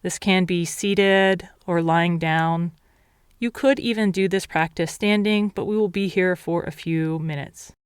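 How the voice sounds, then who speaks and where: A woman meditation teacher leading a guided meditation in a calm, measured, instructional way. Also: lowish voice, clear, resonant tone, no room sound, studio quality.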